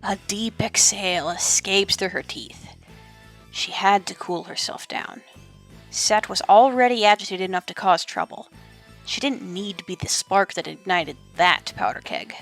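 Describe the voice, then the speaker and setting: A woman's voice: medium pitch, unhurried, a bit bright, soft background music. A woman giving dramatic scripted narration as the narrator of an audio drama.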